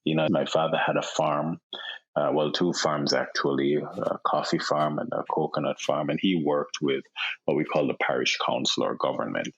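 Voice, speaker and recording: A Jamaican man in his thirties, a podcast guest, talking in a relaxed way with many fillers and repeated words over a remote call. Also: very deep voice, normal speed, creaky, husky. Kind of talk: casual interview chat. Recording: dull lo-fi sound.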